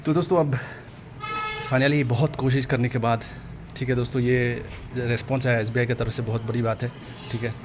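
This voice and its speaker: A man's voice: medium pitch, level tone, moderate pace, background noise. An Indian man hosting an exam-update video, talking casually and directly to viewers with frequent agreement-seeking tags. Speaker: exam-update YouTube host.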